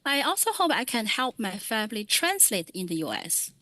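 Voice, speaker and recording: Female meeting interpreter, slightly high, dry mic, calm and measured. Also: no echo. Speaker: Mandarin interpreter. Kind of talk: consecutive interpreting at a public meeting.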